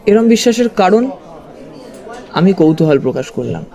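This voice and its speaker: An actor playing a detective's curious companion, narrating the story in the first person with expressive storytelling. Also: male voice, sits high, normal speed, vocal fry, heavily acted. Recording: music under the voice.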